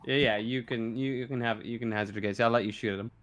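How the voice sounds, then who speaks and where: A man acting as game master, narrating a tabletop game casually with halting, improvised phrasing. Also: mid-range voice, fairly even intonation, fairly bright tone.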